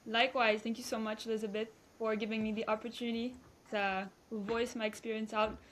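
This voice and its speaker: A woman's voice: fairly high pitch, level tone, a little fast, fairly bright tone, a little hiss or hum. A woman in her twenties from Belgium, a guest chatting casually with hesitant restarts and fillers. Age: 20s. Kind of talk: casual interview chat.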